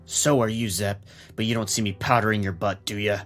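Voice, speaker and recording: A man plays a sardonic police captain in a noir radio play. His voice is low and gruff, and he gives curt orders with full authority over faint music. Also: fairly even intonation, brisk, fairly bright tone, lightly acted. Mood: gruff, impatient. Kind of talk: noir audio drama dialogue.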